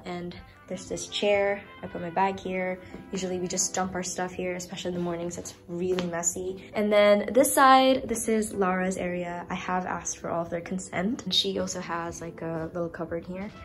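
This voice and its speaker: A woman's voice: lowish voice, darkish tone. A young woman from Indonesia chatting casually and with youthful, bubbly informality as she films a room-tour vlog. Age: young adult. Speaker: vlogger. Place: small furnished dorm rooms and bathroom.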